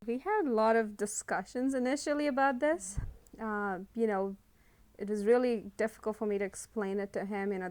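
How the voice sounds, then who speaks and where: A woman's voice: mid-range voice, darkish tone. A young woman from India, a student, speaking reflectively in an interview and often saying "you know". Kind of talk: personal testimony in a documentary interview.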